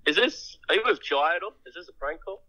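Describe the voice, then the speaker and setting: A man's voice: on the high side, animated, fast, pushed and bright. An Australian man in a casual phone conversation, laid-back and sceptical in manner. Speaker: prank call recipient. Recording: voice over a phone call.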